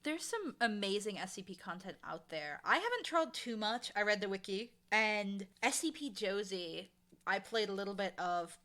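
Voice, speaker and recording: A woman's voice: mid-range voice, lively intonation, moderate pace, pushed and bright. A woman chatting casually as an interview guest, talking in an enthusiastic, emphatic way. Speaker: interview guest, audio drama showrunner and dialogue editor.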